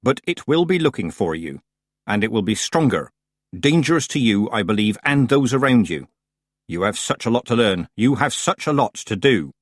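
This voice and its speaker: A man voicing a stern old woman witch who speaks calmly, coldly and tersely. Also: lowish voice, moderate pace, no room sound, studio quality. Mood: icy calm. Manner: calm, clipped, coldly controlled.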